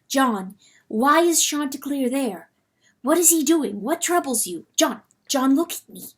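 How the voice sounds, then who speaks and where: A woman's voice: high voice, lively intonation, unhurried, voice pushed up. A woman voicing a worried, earnest hen in a storybook, questioning tenderly and pleadingly. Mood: pleading, urgent.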